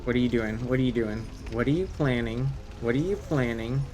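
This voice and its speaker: A man's voice: medium pitch, fairly quick, background noise. A man whose first language is French, streaming a game live and chatting casually with viewers, breaking into swearing and sudden exclamations. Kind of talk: casual live gameplay commentary.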